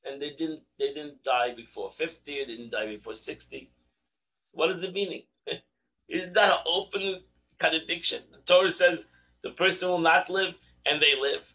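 A middle-aged man teaching a daily religious class over a video call from his home study, animated and repeating words for emphasis. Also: on the high side, normal speed, low-quality recording. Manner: animated, repeats words when stressing a point. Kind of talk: online Torah class. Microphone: video call webcam.

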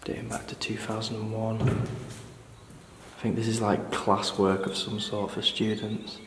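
A British man exploring an abandoned building, talking quietly and observantly through what he finds. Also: on the low side, little pitch movement, a little slow, echoey room, background noise. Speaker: urban explorer host. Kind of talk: exploring walkthrough with scripted narration.

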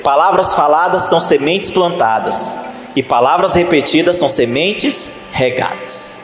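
Male pastor, 30s, high-pitched, fiery sermon delivery with shouted peaks, handheld mic in a reverberant church hall. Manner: preaching with rising, shouted emphasis and slow drawn-out scripture reading. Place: church stage, large hall.

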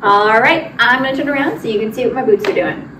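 Casting: young female dance instructor, high voice, counts steps aloud, recorded at a distance in a studio. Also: quick pace, over music. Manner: directive, demonstrating while moving. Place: large indoor dance studio with wooden floor. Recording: roomy, distant mic with noise.

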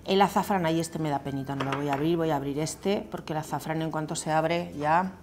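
A woman's voice: sits low, a little fast, noisy background. A middle-aged woman with a Peninsular Spanish accent, presenting an informal cooking tutorial as a chef and often checking in with viewers conversationally. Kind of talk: informal explanatory cooking talk to camera. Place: indoor kitchen set.

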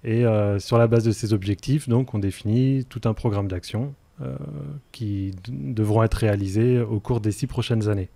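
A man in his forties giving explanatory answers as a radio interview guest, in a halting, hesitant manner with many fillers, close on a studio mic. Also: on the low side, steady tone, dark tone, no room sound. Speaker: interview guest, energy transition project officer. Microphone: close studio microphone.

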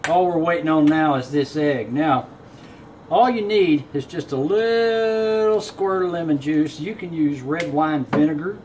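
Easygoing man, noisy kitchen recording. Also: a little high. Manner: easygoing, folksy, unhurried. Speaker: home cook presenting a recipe. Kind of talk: casual cooking demonstration. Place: home kitchen, indoors. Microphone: camera on counter a short way off. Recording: clear room noise in a kitchen.

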